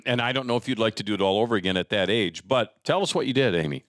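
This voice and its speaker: Animated American man hosting a casual interview. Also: fairly low pitch, quick pace, no echo, clean studio sound. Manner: informal, teasing, reacts with exclamations. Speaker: podcast host, interviewer.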